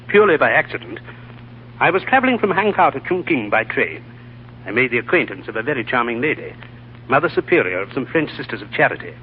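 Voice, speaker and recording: An actor playing a sensible, concerned gentleman writer, polite and clear, in 1940s radio drama style. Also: mid-range voice, a little hiss or hum, close to an everyday voice. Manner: polite, solicitous, clearly enunciated. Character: concerned, sensible gentleman writer looking after his sick friend. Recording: tinny old radio broadcast, faint music.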